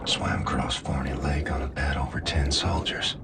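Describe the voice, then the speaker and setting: An actor voicing a weary, hardened soldier in a video game, muttering terse memories in a hushed, half-whispered inner monologue. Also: male voice, extremely low pitch, little pitch movement, normal speed, heavy background noise. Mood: brooding, weary. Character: weary hardened soldier quietly recalling his childhood.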